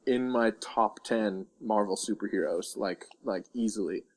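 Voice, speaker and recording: A man's voice: fairly low pitch, unhurried, a little mellow. A man co-hosting a casual pop-culture podcast, chatting in an easygoing, inquisitive way. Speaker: podcast co-host.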